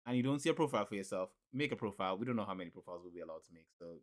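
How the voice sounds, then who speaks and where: A man's voice: fairly low pitch, expressive, very fast. A man co-hosting a casual podcast, rambling and repeating himself with frequent laughs. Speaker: podcast co-host.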